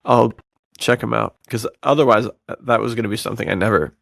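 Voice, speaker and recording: Man, mid-pitched creaky voice, casual co-host chat, joking slangy delivery, dry close recording. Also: fairly even intonation, fast, a bit bright, no echo. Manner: laid-back, joking bro slang. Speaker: podcast co-host. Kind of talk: casual chat about books and films.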